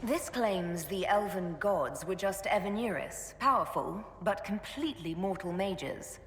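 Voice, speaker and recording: An actress playing a composed, resolute female leader of a fantasy order in a video game, speaking in a measured, earnest way. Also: mid-range voice, animated, a bit bright. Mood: explaining. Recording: roomy echoing hall, faint background noise.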